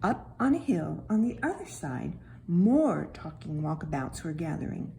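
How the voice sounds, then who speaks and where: A woman voicing a childlike, tender-hearted talking stone that tells a children's Bible story with dramatic, hushed and emphatic delivery. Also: low-pitched, sing-song, unhurried, creaky, a little hiss or hum, pitched below the performer's own voice, heavily acted. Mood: wondering.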